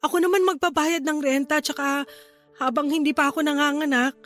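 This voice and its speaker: A woman's voice: sits high, steady tone, a little fast, fairly bright tone, soft background music. A woman playing a remorseful, pregnant older sister in a radio drama, emotional and pleading, with a Filipino accent. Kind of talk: Tagalog radio drama acting.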